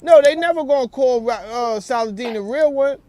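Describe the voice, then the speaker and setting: A man's voice: very high-pitched, brisk, dark tone. A man in a casual, earnest phone conversation, using slang and restating his points. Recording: noisy phone call, background ambience.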